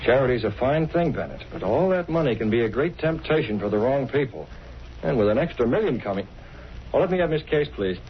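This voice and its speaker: A man playing a brisk, shrewd, politely probing gentleman in a vintage radio drama. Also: mid-range voice, big pitch swings, lightly acted. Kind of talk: 1930s-40s radio drama acting. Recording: muffled, hissy vintage radio recording.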